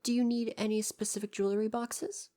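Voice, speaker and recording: A woman's voice: fairly high pitch, little pitch movement, brisk, dark tone, close to an everyday voice. A woman playing a devoted royal butler in an ASMR roleplay, speaking in a formal, deferential and precise way with parental care. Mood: attentive, fond.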